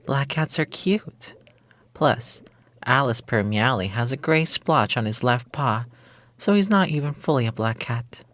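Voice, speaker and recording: A coy, playful witch of a sweetheart acted out by a man in an intimate ASMR roleplay. The talk is flippant and cutesy, dodging every question with a tease. A veiled menace sits under the sweetness, at a middling pitch. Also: lively intonation, a little slow, a bit bright, dry recording. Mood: playful, defensive. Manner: flippant, evasive, teasing, cutesy slang. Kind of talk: intimate ASMR roleplay monologue, girlfriend-experience script.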